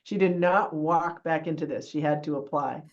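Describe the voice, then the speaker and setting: A woman's voice: low-pitched, moderate pace, muffled recording. A woman moderating a webinar, introducing speakers and praising a panelist. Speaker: session moderator.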